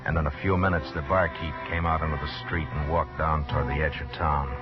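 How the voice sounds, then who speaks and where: A hard-bitten frontier marshal in a radio western. His very low voice is terse, grim and commanding. The vintage recording sounds muffled, with music in places. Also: little pitch movement, fairly quick. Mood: grim narration. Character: stern, hard-bitten US marshal narrating his own story. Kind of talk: old-time radio western acting and first-person narration.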